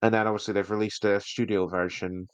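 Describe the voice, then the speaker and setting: Male podcast host, lower register, casual and enthusiastic, hesitant phrasing, dry recording. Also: level tone, a little fast, clear, resonant tone, dry recording. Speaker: podcast host reviewing audio drama. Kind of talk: casual review chat.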